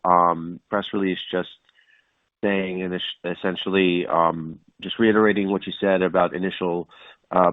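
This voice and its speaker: Male caller, low register, informal and unscripted, narrow telephone sound with no room. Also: no room sound. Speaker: caller asking a question. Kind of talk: casual unscripted question. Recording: dry, narrow phone line.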